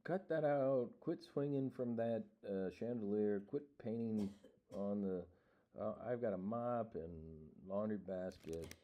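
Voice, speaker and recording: A man voicing a well-meaning storybook egg, halting and exasperated as it scolds its rowdy siblings. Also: fairly low pitch, dull audio. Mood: flustered scolding.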